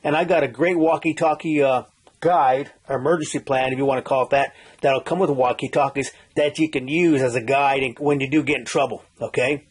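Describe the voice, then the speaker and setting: A man's voice: medium pitch, steady tone, fairly quick, slightly rough. A middle-aged man with a folksy manner, giving an informal survival how-to talk and often checking in with "okay?" as he goes. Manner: folksy, repeats "okay?", many restarts and "uh". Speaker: survival instructor.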